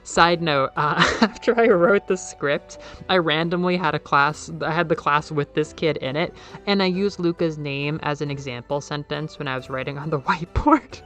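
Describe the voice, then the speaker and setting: Young woman, casual YouTube talk; soft, airy, slightly nasal, lowish pitch, excitable and rambly, faint music under the voice. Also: moderate pace. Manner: enthusiastic, excitable, jumps up in pitch when quoting; rambling with fillers and repeated words. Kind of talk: casual video essay.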